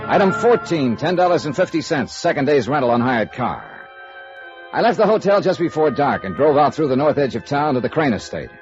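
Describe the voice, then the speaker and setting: A hard-boiled private investigator from old radio noir. He is brisk and tough, with clipped phrases that swing widely in pitch. It sounds like a dull vintage broadcast recording. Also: very animated, over music. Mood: brisk, businesslike. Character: hard-boiled, no-nonsense male freelance insurance investigator. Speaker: lead actor and first-person narrator. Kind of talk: 1950s radio noir acting.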